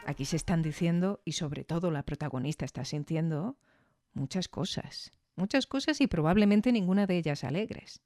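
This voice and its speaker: A podcast reviewer talks through an analysis in a soft-edged, mellow voice. The delivery is reflective and didactic, often turning to the listener with questions. The sound is very dry. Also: medium pitch, animated, moderate pace, dry recording, soft background music.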